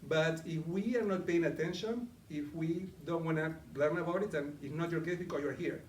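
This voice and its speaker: A middle-aged Argentinian man leads a live talk, chatting with the room and putting questions to his listeners. His voice sits a little high and is picked up from some distance in an echoey meeting room, with faint ambience behind. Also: normal speed, slight background noise. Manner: conversational, asks the audience questions. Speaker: coaching presenter. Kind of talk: live interactive presentation.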